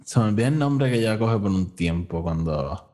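Podcast host, male, slightly deep voice, relaxed bilingual review chat. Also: normal speed. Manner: mixes English phrases into Spanish.

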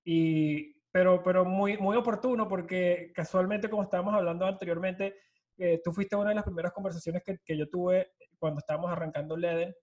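A man's voice: sits high, fairly even intonation, brisk, darkish tone. Venezuelan man, 30s, startup founder guest; chatty storyteller, mixes in English, video call. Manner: talkative, mixes English words into Spanish. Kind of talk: casual storytelling interview.

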